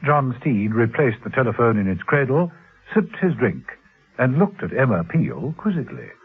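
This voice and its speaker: Wry male storyteller with animated pitch. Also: moderate pace, husky. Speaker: narrator. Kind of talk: scripted radio drama narration. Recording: tinny, band-limited vintage radio recording.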